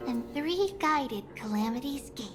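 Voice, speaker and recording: A high-voiced, graceful myth teller. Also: female voice, expressive, measured pace. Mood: solemn, lyrical. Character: graceful woman storyteller reciting an ancient myth. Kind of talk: poetic recitation in a fantasy video game. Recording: game music loud behind the voice.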